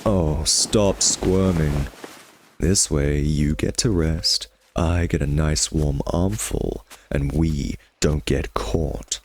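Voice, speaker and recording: A man's voice: a bass voice, fairly even intonation, takes it slowly, darkish tone, slight background noise. A man playing a cocky, sardonic vampire nemesis who teases and taunts the listener in an intimate ASMR roleplay. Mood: mocking, playful.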